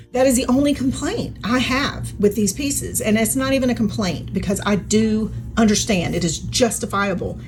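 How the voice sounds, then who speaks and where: A woman hosting a craft review vlog, chatty and enthusiastic, talking straight to her viewers while she is hoarse and losing her voice. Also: mid-range voice, a little mellow. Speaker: craft channel host.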